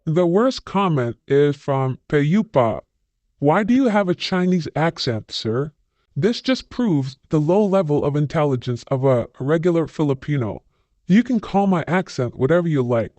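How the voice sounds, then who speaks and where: A man's voice: on the high side, expressive, moderate pace, darkish tone, dry recording. A man hosting a channel, reading online comments aloud and adding blunt, scornful opinions of his own.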